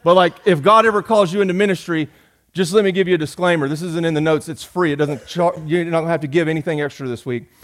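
A man, preaching a sermon in a folksy, joking way, rising loud and dropping soft. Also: fairly high pitch, quick pace. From Georgia. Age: 40s. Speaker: pastor.

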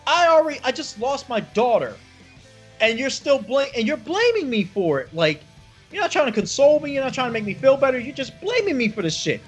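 A bitter, grieving wife lashing out at her husband, as a man puts her on. The voice is husky and climbs high in quick, accusing runs. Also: very animated, soft background music, heavily acted.

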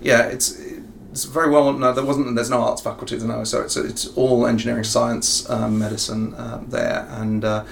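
A man's voice: medium pitch, little pitch movement, normal speed, gravelly, few pauses. A middle-aged man with a southern English accent, answering interview questions in a rambling way with many ums and self-corrections. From England. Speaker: professor and executive guest. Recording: faint ambient noise.

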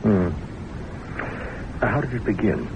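An actor playing a patient, gently probing doctor in an old-time radio drama, measured and soothing. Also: male voice, fairly low pitch, lively intonation, lo-fi sound. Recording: noisy old radio recording.

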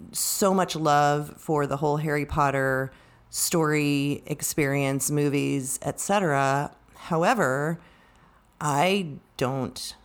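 A middle-aged woman with a distinctly low voice talks through ideas in a measured way. She sounds conversational and reflective, like a podcast co-host in a book discussion, often adding "you know" as she thinks.

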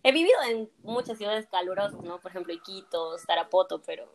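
A woman's voice: medium pitch, a little fast, a little hiss or hum, low-quality recording. A woman in her early twenties answering interview questions in a friendly, hesitant way, often repeating herself. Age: 20s. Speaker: guest.

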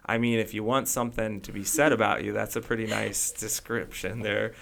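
Low gravelly man hosting a chat. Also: fairly even intonation, fairly bright tone. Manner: halting, many restarts and fillers. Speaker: podcast host. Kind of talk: casual interview chat.

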